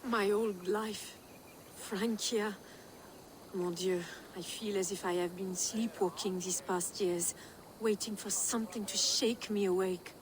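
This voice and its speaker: A woman's voice: medium pitch, fairly even intonation, slow, natural voice. A woman playing a romantic, seductive French noblewoman in a video game, speaking with a French accent in a wistful, poetic way. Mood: yearning, tender. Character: yearning, seductive French noblewoman in Viking-age England. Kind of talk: dramatic video game acting.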